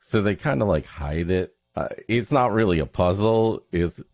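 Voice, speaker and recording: Male comedy host, deep and unhurried, mock-dramatic, close dry sound. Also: animated, darkish tone, no room sound. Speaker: podcast host. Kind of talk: comedic banter and story introductions.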